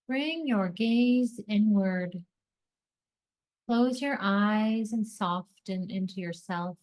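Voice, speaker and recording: A woman hosting a guided meditation, speaking in deliberate short phrases with pauses between them, as scripted soothing narration. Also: mid-range voice, poor audio.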